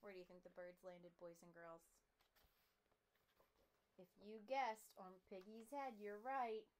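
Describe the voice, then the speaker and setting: A woman's voice: mid-range voice, fairly even intonation, measured pace, poor audio. A young adult woman chatting casually to children as a read-aloud presenter, recorded in a small room at home.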